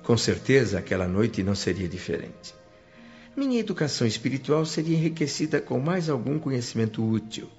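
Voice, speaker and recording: Music plays under a slightly muffled recording of an earnest Brazilian man in a radio serial. He tells his own story with measured, formal reverence, as a grateful newcomer full of wonder. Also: mid-range voice, sing-song, darkish tone. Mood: reverent wonder. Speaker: narrator and protagonist. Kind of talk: dramatized radio serial narration.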